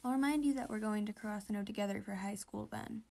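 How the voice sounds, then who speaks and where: A woman voicing teenage anime boys from a fan comic, casual, sweet and a little halting. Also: medium pitch, gravelly, a little mellow. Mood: shy, soft.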